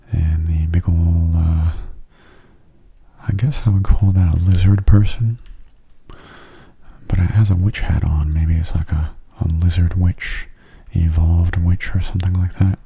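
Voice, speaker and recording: Man, ASMR gaming commentary, dark mellow tone, fry-laden, soft breathy murmur, unhurried. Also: low-pitched. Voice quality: soft, breathy, often near whisper. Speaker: gaming channel host.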